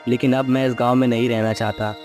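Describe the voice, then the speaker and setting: A man's voice: mid-range voice, little pitch movement, quick pace, a little mellow, soft background music, lightly acted. A man as a plaintive, luckless young villager. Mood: downcast, resolved. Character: sorrowful, luckless poor young village son. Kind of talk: acted dialogue in a moral story cartoon.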